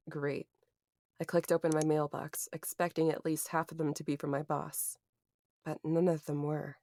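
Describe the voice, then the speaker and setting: Actress as low-voiced grieving young woman, music behind. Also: close to an everyday voice. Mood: anxious. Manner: introspective, bitter, sweary. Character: Katie, grieving, anxious young woman narrating her horror ordeal. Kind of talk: first-person audio drama narration.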